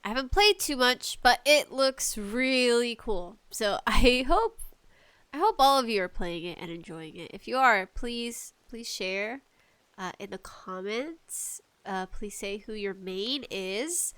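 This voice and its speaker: A woman chatting casually as a solo podcast host, nervous, halting and apologetic, with allergies bothering her. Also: on the high side, animated, a bit bright, clear, resonant tone, dry recording. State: allergies acting up. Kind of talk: casual solo podcast chat about games and tea.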